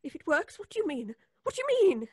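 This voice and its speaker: An actress playing a distressed, indignant, prim woman, emphatic and high-strung, in old-time radio suspense style. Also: big pitch swings, fast talker, no room sound, studio quality. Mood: wary, alarmed.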